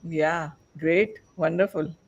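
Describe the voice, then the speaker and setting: Male podcast host, slow and high-pitched, encouraging with guests. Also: steady tone, muffled recording. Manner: encouraging, frequent affirmations. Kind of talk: casual panel moderating.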